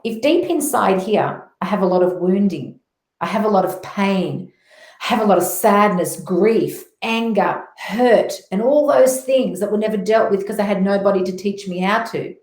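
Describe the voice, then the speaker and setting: A woman's voice: mid-range voice, animated, moderate pace, darkish tone. A middle-aged woman with an Australian accent giving personal spiritual testimony as an interview guest, telling it earnestly and with emphasis. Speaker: interview guest, motivational speaker. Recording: roomy echo on voice.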